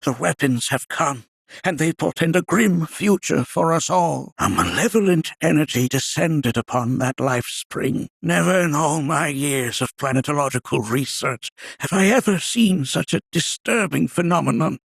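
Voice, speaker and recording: A wise old game sage, creaky and husky, kindly, talks all formal and ponderous. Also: male voice, fairly high pitch, expressive, moderate pace, no room sound, heavily acted. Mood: solemn, confiding. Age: older. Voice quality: raspy, aged. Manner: ponderous, formal, archaic storyteller phrasing. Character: wise, kindly old male scholar and planetary researcher. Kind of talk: video game character voice acting.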